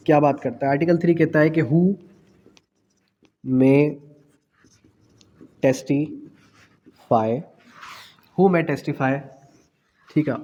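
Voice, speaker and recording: A man's voice: on the high side, a little mellow, dull audio. A Pakistani man giving an exam-prep law lecture, didactic, repeating phrases and posing questions that he answers himself. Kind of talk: exam-prep lecture mixing in English legal terms. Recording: long echoing reverb of a hall.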